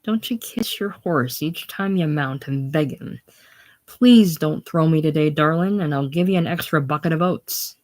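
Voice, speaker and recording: A reader voicing a gruff old farmer who mocks and jeers loudly. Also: mid-range voice, lively intonation, normal speed. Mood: jeering. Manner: loud, teasing, contemptuous. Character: gruff old Irish farmer, mocking and jeering.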